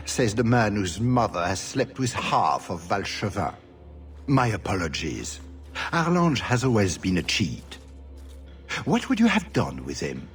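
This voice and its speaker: An actor playing a suave, theatrical, sardonic duke in a video game, speaking with flowery, mocking courtesy. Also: male voice, on the low side, very animated, slow pace, pauses often, soft background music. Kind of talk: video game cutscene acting.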